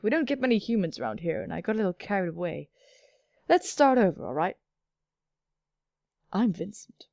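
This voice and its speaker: Woman as forward young vampire guy, friendly, chatty, visual novel game character, animated, dry close sound. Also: medium pitch, moderate pace, vocal fry, pauses often, no room sound, voice pushed down. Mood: apologetic, friendly.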